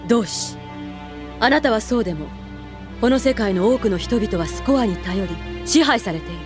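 An actress as a stern female military instructor with a medium-pitched, commanding voice. She declaims coldly, like a zealot, in an anime audio drama over music. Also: animated, moderate pace, lightly acted. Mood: cold, persuasive. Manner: authoritative, cold, declamatory. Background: background music.

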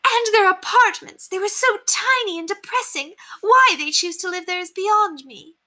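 A haughty, spoiled lady of the manor from a storybook. Her voice is very high and swoops dramatically. She is pompous and affected, stressing her words with bright force. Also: expressive, normal speed, full character voice. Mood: appalled, disdainful.

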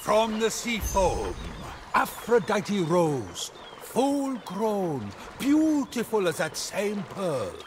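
Childish, boastful god-king for a comedy game: theatrical, drawn-out, swooping delivery over music. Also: high voice, huge pitch swings, background noise, exaggerated voice. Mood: smug, defensive. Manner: theatrical, indignant, comic bluster. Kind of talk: comic video game narration and banter. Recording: music behind the dialogue.

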